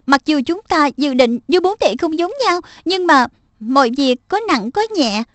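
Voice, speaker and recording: Elder-sister schoolgirl, voiced by a woman. High, dry-recorded, assertive and persuasive, for a children's cartoon dub. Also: animated, moderate pace, no room sound. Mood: coaxing. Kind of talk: Vietnamese-dubbed children's cartoon.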